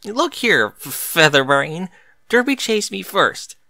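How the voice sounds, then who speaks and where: A man voicing a nervous, earnest male unicorn pony in a story reading, with a halting way of talking. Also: high-pitched, very animated, creaky, sharp tone, dry recording, voice pushed up, full character voice. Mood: impatient.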